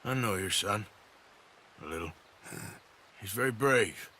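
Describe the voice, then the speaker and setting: Low, forceful American outlaw gunman. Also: animated, measured pace, slight background noise. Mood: subdued, wry. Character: tough, plainspoken American outlaw gunman, weary but loyal. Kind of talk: dramatic video game acting.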